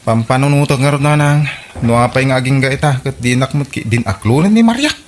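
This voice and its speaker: A man's voice: mid-range voice, lively intonation, a little fast, a little hiss or hum, close to an everyday voice. A man with an Ilocano accent playing a boastful, lovesick young suitor in a radio comedy drama. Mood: boastful, puzzled.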